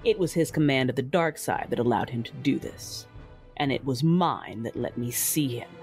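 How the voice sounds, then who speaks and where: A woman's voice: rumbling low, darkish tone. Woman acting a cold, vengeful Sith mother over music. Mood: cold fury. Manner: measured, solemn, menacing. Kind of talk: dramatic first-person scripted narration.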